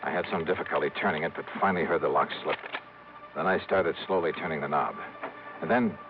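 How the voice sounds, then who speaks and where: An actor playing a hardboiled, curt investigator, clipped and dry, in 1950s radio detective narration and dialogue. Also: male voice, rumbling low, fast talker. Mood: dry, narrating. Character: hardboiled, curt male freelance insurance investigator narrating his case. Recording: dull, noisy old radio recording.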